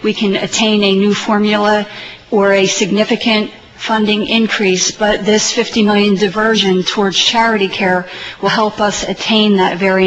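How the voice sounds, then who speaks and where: A woman giving prepared testimony as an advocate at a legislative hearing. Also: medium pitch. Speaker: hospital industry advocate testifying. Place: legislative committee hearing room. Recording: dull hearing audio, faint noise.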